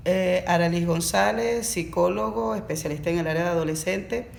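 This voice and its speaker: A woman psychologist introduces herself in a brisk, formal way. Her voice has a gravelly fry, and the room adds an echo. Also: on the low side, music in the background. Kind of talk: podcast self-introduction. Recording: roomy echo.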